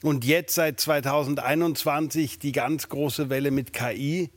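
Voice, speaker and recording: A man in his fifties speaks a little high, and the sound is close and dry. He is a guest on a chat show and talks in a joking, measured way. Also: fairly even intonation, no echo. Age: 50s. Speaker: guest actor. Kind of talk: casual interview chat.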